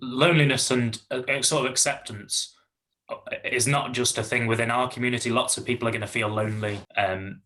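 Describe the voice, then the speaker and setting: A man from Wales hosting a community podcast, asking questions with halting fillers. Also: mid-range voice, steady tone, bright, forceful tone. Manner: hesitant, restarts and fillers. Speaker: podcast host. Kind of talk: interview questions.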